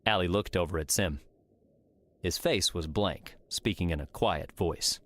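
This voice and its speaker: A man's voice: low voice, measured pace, a bit bright. A man reading scripted story narration as an audiobook narrator.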